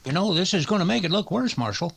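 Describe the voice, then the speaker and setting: An actor playing a fretful, hesitant town doctor in a western radio drama, halting and hedging as he speaks. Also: sits high, animated, vocal fry, a bit bright, heavily acted. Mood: worried, hesitant.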